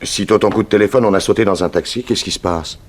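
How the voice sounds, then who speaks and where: An actor playing a calm, authoritative private detective in a 1960s French radio drama, brisk and businesslike. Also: male voice, fairly low pitch, close to an everyday voice. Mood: businesslike, assured.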